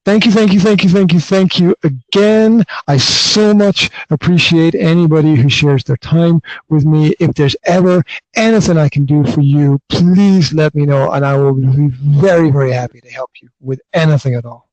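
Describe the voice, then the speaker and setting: A man's voice: on the high side, moderate pace, hardly any pauses, a little mellow, dry recording, poor audio. A man from the north of Scotland, hosting a casual podcast interview over an online call, friendly and often repeating himself. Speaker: podcast host.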